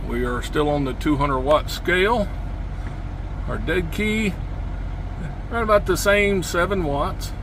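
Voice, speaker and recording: A man's voice: a little high, slow, pauses often, noisy background. A man hosting a casual gear demonstration vlog from inside a truck cab, with a folksy, informal way of talking. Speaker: video host, CB radio enthusiast.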